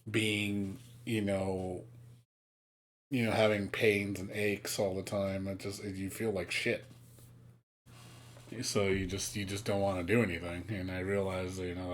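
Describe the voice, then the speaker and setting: A man's voice: low voice, slight background noise. A man in his late thirties, talking to viewers on his live stream in a candid, rambling way. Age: late 30s. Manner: candid, rambling, self-critical.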